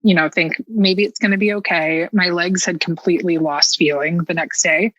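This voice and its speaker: A woman telling a personal story as a podcast guest in an animated, casual, self-mockingly dramatic way. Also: lowish voice, normal speed, dry recording, lo-fi sound.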